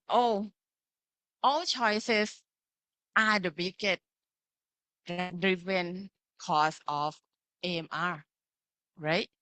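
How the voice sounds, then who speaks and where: Slow, bright-voiced Thai-accented woman lecturer. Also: medium pitch, no echo, poor audio. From Thailand. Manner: simple phrasing, often checking with "right?" and "okay". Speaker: pharmacy lecturer. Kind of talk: interactive online lecture with slides.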